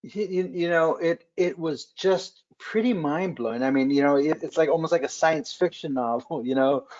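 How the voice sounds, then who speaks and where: A man hosting a webcast interview in casual chat, halting with many fillers and restarts. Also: fairly high pitch, a little mellow, slight background noise. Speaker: webcast host. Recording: dull lo-fi webcast audio.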